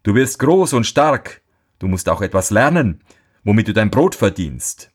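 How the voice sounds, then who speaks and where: Stern sighing old father, read by a man, slow and lively in pitch. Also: slightly rough, heavily acted. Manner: scolding, sighing.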